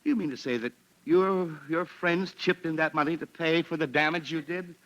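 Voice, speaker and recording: Actor as a high-strung, high-voiced blustering dad. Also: male voice, moderate pace, fairly bright tone, muffled recording, close to an everyday voice. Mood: softening. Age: middle-aged. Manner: sputtering, flustered, repeats himself. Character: exasperated, blustering middle-aged suburban father, soft-hearted underneath. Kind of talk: 1940s radio sitcom acting. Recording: noisy old-time radio recording.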